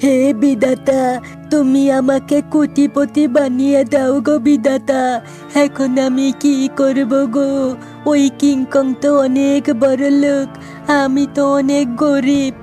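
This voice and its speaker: A woman plays a poor male fox in a cartoon, lovesick and boastful. The voice is high and slow, full of melodramatic pleading and exclamation, with faint music behind. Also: completely flat delivery, dark tone. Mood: wailing. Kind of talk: cartoon voice acting.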